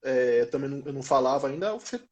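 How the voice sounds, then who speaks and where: A man's voice: mid-range voice, lively intonation, quick pace, a little mellow, highs cut off. A man in his thirties with a Brazilian Portuguese accent, telling his experiences as an athlete in an informal, slangy interview chat. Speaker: former professional footballer being interviewed.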